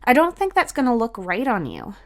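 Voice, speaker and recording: A woman's voice: on the high side, wildly swooping pitch, fairly quick, voice pushed up. A woman voicing a girlish, mischievous big sister in a storybook, teasing and encouraging with a casual manner. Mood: pondering.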